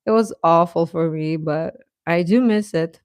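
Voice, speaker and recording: A woman's voice: on the low side, measured pace, no echo. Reassuring woman in a dry, close recording. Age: adult. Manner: earnest, reassuring, repeats phrases for emphasis. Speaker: live streamer giving advice to teenage viewers. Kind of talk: casual livestream chat, encouraging advice.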